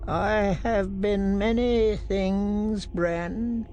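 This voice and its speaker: A man voicing an ancient, withered undead lord in a fantasy audiobook, speaking solemnly in a dry voice with halting, drawn-out words. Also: high voice, fairly even intonation, a little mellow, lo-fi sound, soft background music, pitched above the performer's own voice, exaggerated voice. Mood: solemn, ominous. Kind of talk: fantasy audiobook character reading.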